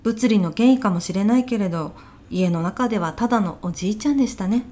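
An elderly widow played by a woman in a short radio comedy. Her voice is mellow and warm in tone, at a medium pitch. She speaks softly and courteously, answering with puzzled, deadpan politeness. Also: fast, a little hiss or hum, lightly acted. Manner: soft-spoken, polite, deadpan reactions. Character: elderly widow, polite housewife, increasingly bewildered. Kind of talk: audio comedy sketch acting.

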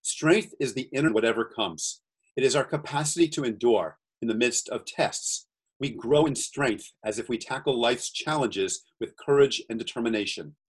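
Husky-voiced American man on a call. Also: mid-range voice, poor audio. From USA. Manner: earnest, reads cards slowly and deliberately. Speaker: guest entrepreneur. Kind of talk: casual video-call chat. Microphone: video call.

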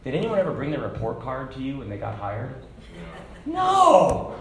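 A man preaching a sermon to a congregation, talking casually and then building to loud, fervent shouts. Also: medium pitch, wildly swooping pitch, moderate pace. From Hong Kong. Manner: conversational preaching, rising to shouts. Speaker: pastor. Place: church sanctuary. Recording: echoey church room.

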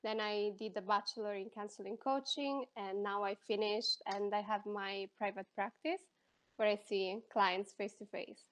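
An Italian woman, a counselor and life coach, being interviewed and talking in a lively, expressive way with quick bursts and restarts. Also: medium pitch. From Italy. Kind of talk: casual interview.

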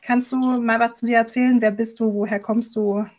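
A woman's voice: on the high side, flat delivery, normal speed, darkish tone. A woman hosting a podcast and giving an introduction to the show and its guest. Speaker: podcast host.

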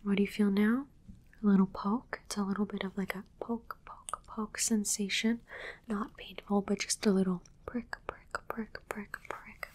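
A woman playing a calm alien examiner in an ASMR roleplay, whispering softly and slowly, with clinical reassurance. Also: medium pitch, lightly acted. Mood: soothing, clinical. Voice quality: breathy whisper. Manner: soft, unhurried, repetitive, clinically reassuring. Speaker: ASMR performer.

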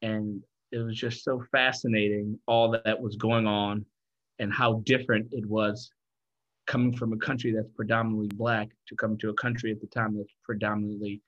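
A man from Liberia talking as an interview guest, telling his story in a thoughtful, deliberate way. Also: lowish voice, flat delivery, a bit bright, highs cut off. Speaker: guest, founder of a financial firm.

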